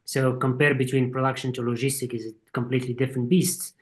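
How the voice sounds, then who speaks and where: A man's voice: mid-range voice, level tone, fast. Man, thoughtful guest. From Morocco. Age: 40s. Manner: thoughtful, explains at length. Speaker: guest, supply chain executive. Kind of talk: casual interview.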